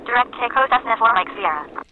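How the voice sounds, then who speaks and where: A man voicing a brisk small-plane pilot in a flight simulator, with clipped readbacks through a radio filter. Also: very high voice, animated. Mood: brisk, routine. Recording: tinny noisy narrowband radio.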